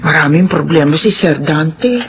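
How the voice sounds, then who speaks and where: A woman's voice: low-pitched, lively intonation, measured pace, a little hiss or hum. A woman playing a fussy, motherly older household helper in a Filipino radio drama. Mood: concerned, startled. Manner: motherly, fretful.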